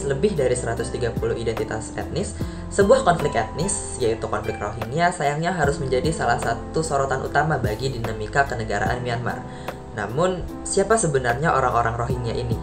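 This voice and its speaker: A young woman reading a scripted explainer as a student presenter. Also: extremely low pitch. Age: young adult. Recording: echoey room, music behind. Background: background music.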